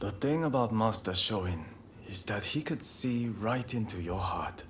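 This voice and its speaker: An actor playing a fiery, proud and idealistic young samurai in a video game, with earnest, formal phrasing. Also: male voice, on the low side, expressive, a little slow, a little hiss or hum. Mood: reflective, warm. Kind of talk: video game cutscene acting.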